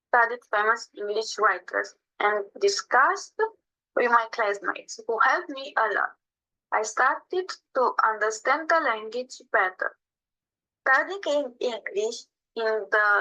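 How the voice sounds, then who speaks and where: Young woman, Romanian native speaker, bright tense tone, fairly high voice, earnest and a bit halting. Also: low-quality recording. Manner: earnest, slightly halting non-native English. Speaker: webinar speaker. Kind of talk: personal testimony read aloud.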